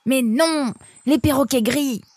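Woman doing young boy fox in a kids audio story, high voice, whiny and worked up, dry recording. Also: slow pace, a little mellow, breathy edge, no echo, heavily acted. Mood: confused, flustered. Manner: whiny, exclamatory, hesitant when confused. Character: flustered, indignant young male fox hero.

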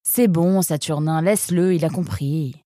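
A woman voicing a confident, proud female fox who explains things briskly in a children's audio story. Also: sits low, warm tone, no room sound, clean studio sound. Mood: firm.

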